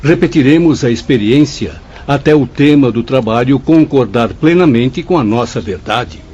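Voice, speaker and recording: An actor with a Brazilian accent playing a devout, encouraging spirit companion, earnest and consoling, in a radio drama. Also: male voice, mid-range voice, expressive, moderate pace, darkish tone, close to an everyday voice. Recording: muffled lo-fi track, ambient noise.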